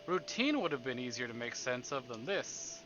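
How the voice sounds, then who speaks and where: A man streaming a visual novel, reading it aloud theatrically and deliberately, with livelier asides. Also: mid-range voice, sing-song, fairly bright tone, muffled recording, slight background noise. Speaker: game streamer. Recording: music behind the voice. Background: game background music.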